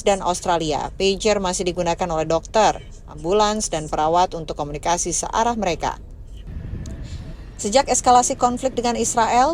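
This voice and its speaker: A woman reading a scripted news voice-over in a steady, formal way. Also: medium pitch, a little hiss or hum. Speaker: news narrator.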